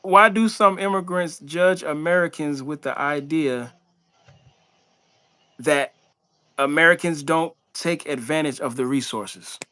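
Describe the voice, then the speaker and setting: A man's voice: fairly high pitch, measured pace, pushed and bright, smooth. A man co-hosting a casual podcast chat, teasing and laughing often as he reads out audience questions. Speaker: co-host.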